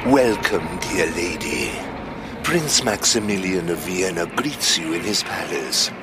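Deep, slow, pompous palace doorman. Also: animated, very noisy. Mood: unctuous. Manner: florid, ceremonious, slow. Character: pompous, courtly male palace doorman of vampire society. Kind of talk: audio drama acting.

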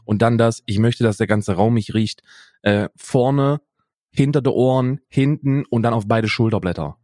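A man's voice: mid-range voice, normal speed, darkish tone, no room sound. Boastful man in his thirties, dry close mic. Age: 30s. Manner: boastful, mock-serious, playful. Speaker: podcast co-host. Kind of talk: joking casual chat.

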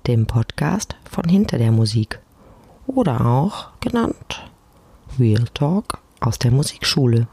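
A woman's voice: rumbling low, warm tone, slightly rough, dry recording. A woman casually hosting a podcast alone in speech, chatty, informal and self-deprecating, speaking close to the microphone at home. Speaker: podcast host. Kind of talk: casual solo podcast talk. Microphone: close personal microphone.

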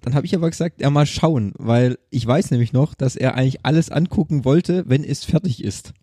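A man's voice: medium pitch, brisk, warm tone, dry recording, clean studio sound. A man, chatting casually and colloquially as a podcast co-host, with a joking manner. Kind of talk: casual unscripted podcast chat.

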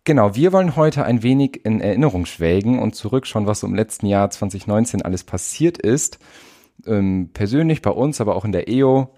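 A man of nineteen from northern Germany, hosting a relaxed podcast chat with some fillers. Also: lowish voice, a little fast. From Wesermarsch, northern Germany. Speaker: podcast host, youth association chair. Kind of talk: casual chat.

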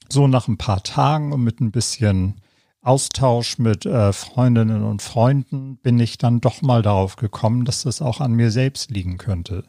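A man in his fifties from northern Germany, hosting a podcast and telling his own story in a relaxed, reflective way, with many pauses and fillers, seated in an armchair. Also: mid-range voice, no room sound, clean studio sound. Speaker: podcast host. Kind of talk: casual personal storytelling.

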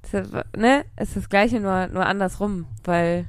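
Woman, young adult, has a cold, smooth voice, dry close mic, casual rambling podcast chat. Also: mid-range voice, a little slow, no echo. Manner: rambling, laughs often, many fillers. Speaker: podcast co-host. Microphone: close studio mic.

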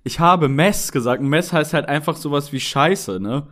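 A man with a somewhat high voice talks loosely and jokingly, tossing in dry little asides. The delivery is relaxed conversation, not a script. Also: moderate pace. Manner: joking, reads items aloud with wry asides. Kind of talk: casual chat.

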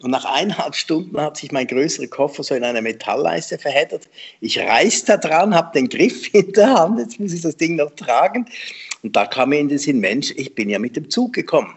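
Husky man telling lively stories. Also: fairly high pitch, fairly quick. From Switzerland. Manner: lively anecdotal, self-deprecating, laughs often. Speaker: memory trainer, guest. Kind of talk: conversational storytelling interview.

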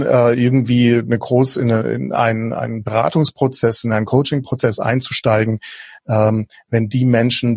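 A man's voice: medium pitch, a little mellow, breathy edge, no room sound, clean studio sound. A man from southwest Germany, a guest on a podcast interview, talking in a hesitant way with many fillers and restarts. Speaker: guest, innovation coach.

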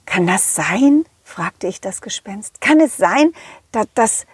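A woman voicing a confident, loyal, kindly dog who tells his adventures to children in a chatty, halting, empathetic way. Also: mid-range voice, expressive, husky, no echo, heavily acted. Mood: earnest, kindly. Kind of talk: children's audio play storytelling.

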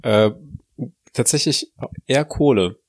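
A man's voice: medium pitch, unhurried, a little mellow. Nasal, stuffy-nosed man joking around. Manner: rambling, joking. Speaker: podcast co-host. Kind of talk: casual banter.

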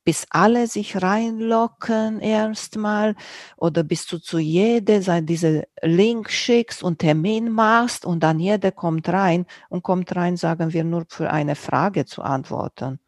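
A woman's voice: lowish voice, slow pace, no echo, clean studio sound. A woman with a Romanian accent who speaks German as a second language, chatting casually in an interview about her podcast, in loose sentences that search for words. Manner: loose, searching sentences with non-native grammar. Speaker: podcast host, guest on another podcast.

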